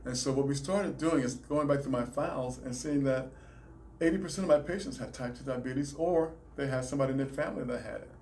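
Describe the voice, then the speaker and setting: A man with a Southern US accent explains his work in a calm, measured way, pausing and restarting now and then. His voice has a mid-range pitch, and a faint hum of room noise runs under it. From Alabama, raised in South Carolina. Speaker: chiropractor, guest. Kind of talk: interview answers, explaining.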